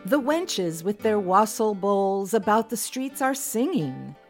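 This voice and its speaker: A woman reciting old festive verse in a measured, declamatory way. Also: medium pitch. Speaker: verse reader. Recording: soft guitar under the voice. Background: plucked guitar music.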